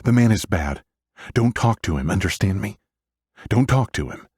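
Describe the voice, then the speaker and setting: A man's voice: on the low side, animated, moderate pace, darkish tone, slightly rough, dry recording, studio quality, pitched above the performer's own voice. A man voicing a scared thirteen-year-old boy, urgent and fiercely protective of his sister, in an audiobook. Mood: protective, anxious. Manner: urgent, emotional pleading.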